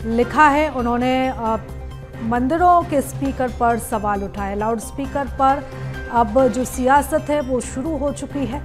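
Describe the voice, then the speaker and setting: A woman's voice: sits high, a little slow, music in the background. A woman presenting live television news as an anchor.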